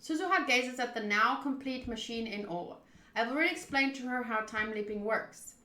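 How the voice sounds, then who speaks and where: A woman game streamer with a high, bright voice. She chats and reads aloud theatrically, often drifting into asides, in a roomy, echoing space. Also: moderate pace. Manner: chatty, theatrical, swerving into asides. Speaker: streamer playing a visual novel. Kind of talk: casual live playthrough commentary, reading aloud.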